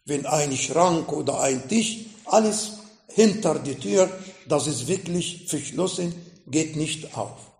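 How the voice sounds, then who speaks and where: A man with an Arabic accent preaching a sermon in slow, emphatic phrases that rise sharply in pitch. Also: lively intonation, gravelly, darkish tone, reverberant. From Middle East. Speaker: pastor.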